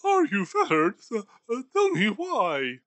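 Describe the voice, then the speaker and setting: A man voicing a frightened, pleading old miser in a high, wavering, whimpering voice for an audiobook. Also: huge pitch swings, unhurried, a bit bright, dry recording, heavily acted. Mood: nervous. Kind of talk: audiobook character voice.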